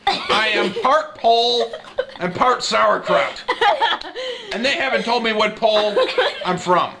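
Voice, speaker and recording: A man's voice: high voice, slow, gravelly, over a noisy crowd, exaggerated voice. A man voicing a wisecracking baby girl doing an improvised stand-up monologue in the manner of an old-school nightclub comic. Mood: amused, exasperated. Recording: noisy amateur home video with clicks.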